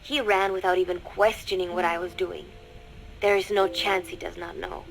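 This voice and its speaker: An actress playing a young female spy in a video game monologue, coldly resolved yet fragile, speaking in measured, deliberate phrases. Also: lowish voice, level tone, creaky, slight background noise, rough recording. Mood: anxious, despairing. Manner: measured, deliberate, introspective confession.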